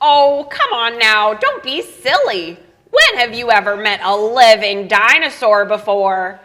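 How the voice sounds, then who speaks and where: A woman's voice: fairly high pitch, sing-song, unhurried, fairly bright tone. A woman playing a chatty know-it-all chicken. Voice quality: clucking, lecturing tone.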